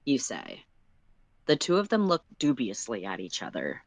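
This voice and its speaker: Measured storyteller, close dry sound. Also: mid-range voice, huge pitch swings, creaky, no echo. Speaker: co-host and narrator of a story livestream. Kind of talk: read-aloud narration of fan fiction in second person.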